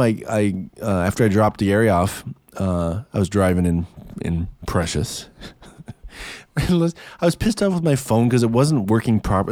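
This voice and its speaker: A man hosting his podcast in a hoarse, gravelly voice, chatting casually and laughing at himself. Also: fairly low pitch, warm tone, no echo, studio quality. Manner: chatty, self-deprecating, laughs often. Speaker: podcast host. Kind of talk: rambling casual monologue. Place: in his car for the later part.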